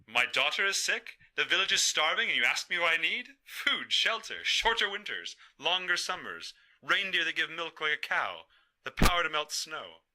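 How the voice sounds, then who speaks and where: A man's voice: on the high side, sing-song, moderate pace, pushed and bright, pitched above the performer's own voice, exaggerated voice. A man voicing a poor, defiant village cheesemaker in a folktale, with an exasperated, pleading manner. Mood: exasperated, desperate.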